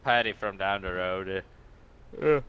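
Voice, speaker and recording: A man's voice: low-pitched, unhurried, muffled recording, slight background noise. A man roleplaying a tipsy, evasive drink-driving suspect in an online game, rambling, hesitant and protesting.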